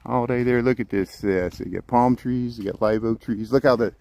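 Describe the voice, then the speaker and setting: A man filming a walking tour outdoors on the grounds of a historic house, narrating loudly into a handheld phone mic and often repeating words. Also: mid-range voice, steady tone, brisk, gravelly. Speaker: vlogger touring a historic site.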